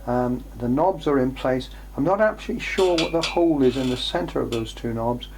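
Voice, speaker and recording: Male workshop presenter, mid-pitched, unscripted and hesitant, thinking aloud over faint noise and hum. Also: expressive, moderate pace, a little mellow. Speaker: presenter showing vintage electronics for restoration. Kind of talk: unscripted workshop show-and-tell. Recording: clearly noisy, with background hum.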